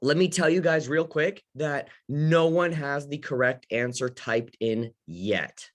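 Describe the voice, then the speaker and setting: A man's voice: a little high, unhurried. A young man from Ukraine teaching a casual live lesson for beginner investors, talking straight to his audience. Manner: casual, talks to the audience, many fillers and restarts. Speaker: host of an investing workshop.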